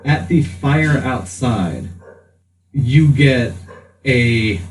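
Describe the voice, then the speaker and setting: A man running a tabletop game as game master, narrating off the cuff with pauses mid-sentence. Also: medium pitch, lively intonation. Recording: echoey, noisy room, distant mic.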